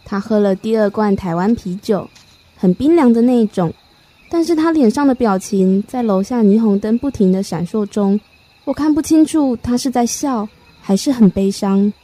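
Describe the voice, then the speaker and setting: A woman playing a reflective first-person storyteller in a radio drama, narrating in a measured, literary way. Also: a little high, lively intonation, warm tone. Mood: wistful, reflective.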